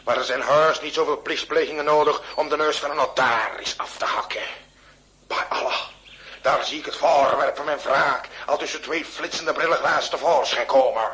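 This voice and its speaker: A man's voice: fairly high pitch, fairly even intonation, normal speed, bright, forceful tone. Proud, vengeful Ottoman duellist. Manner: theatrical, declamatory. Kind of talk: comic period radio play acting.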